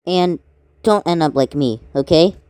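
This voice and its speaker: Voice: mid-range voice, a little slow, darkish tone, clean tone, no echo, clean studio sound. A person doing amateur cartoon voice acting in a theatrical way, switching between characters.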